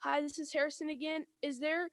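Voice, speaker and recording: A woman's voice: high voice, flat delivery, fairly quick, dry recording. A female-sounding student asking prepared questions during a radio contact.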